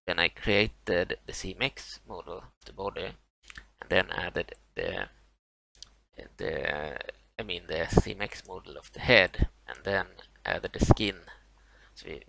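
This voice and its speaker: A man with a Swedish accent, a native Swedish speaker, talking through a software tutorial, halting with many fillers and restarts. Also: low-pitched, creaky, rough recording. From Sweden. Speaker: tutorial maker. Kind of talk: screen-recorded software walkthrough.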